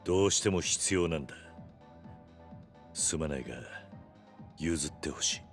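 Stoic middle-aged yakuza type, game drama: very low register, blunt, few words, determined. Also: male voice, normal speed, faint music behind. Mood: quietly insistent. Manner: terse, blunt, restrained. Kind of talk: Japanese video game cutscene acting.

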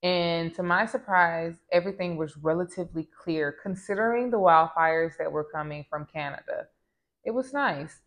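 A woman of about thirty from the American South talks straight to camera in a chatty, easygoing way. Her voice sits somewhat low, and it is close and clean from a lapel mic in a small room at home. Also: normal speed. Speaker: vlogger. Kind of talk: casual travel vlog.

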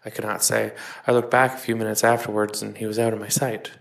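A man's voice: on the low side, little pitch movement, quick pace, creaky. A man playing a plain-spoken working man on the witness stand in a period trial drama, answering carefully and deferentially. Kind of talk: dramatic reading of a trial transcript.

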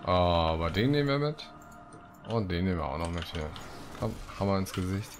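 Sehr tiefe Männerstimme beim Let's Play. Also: male voice, low voice, animated, moderate pace, background noise. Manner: joking, muttering to himself. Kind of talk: casual gaming commentary with read-out quests. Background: game music.

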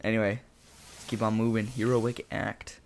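A man casually commenting as he plays a video game, chatty and talking himself through each move, his voice gravelly after just waking up. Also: lowish voice, level tone, normal speed, slight background noise. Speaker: gameplay commentator. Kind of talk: casual let's-play commentary. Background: game music and sound effects.

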